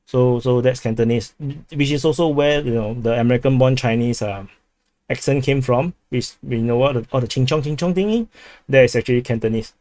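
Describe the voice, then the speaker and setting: A man with a Singaporean English accent hosts a casual livestream at a mid-range pitch, talking loosely, restarting his sentences and answering chat. The sound is close and dry, with almost no room around it. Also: fairly quick, a little mellow, no echo. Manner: rambling, many restarts and fillers, reading chat aloud. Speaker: livestream host.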